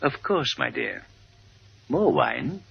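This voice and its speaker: An actor playing a wealthy, doting colonel in a 1950s radio drama, speaking in a gallant, courtly manner. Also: male voice, mid-range voice, wildly swooping pitch, slow pace, gravelly, full character voice. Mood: courtly, adoring. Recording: dull old radio recording, loud music.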